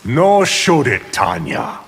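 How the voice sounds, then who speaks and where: An actor playing a scheming, power-hungry sorcerer villain in a fighting game, haughty, menacing and contemptuous in formal speech. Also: male voice, medium pitch, huge pitch swings, takes it slowly, gravelly, heavy background noise, heavily acted. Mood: sneering threat.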